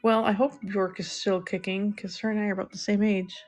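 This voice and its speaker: A middle-aged woman chatting softly and unhurriedly with viewers while she draws on a livestream. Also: mid-range voice, level tone, a little fast, soft background music. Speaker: live-streaming artist. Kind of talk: casual livestream chat while drawing.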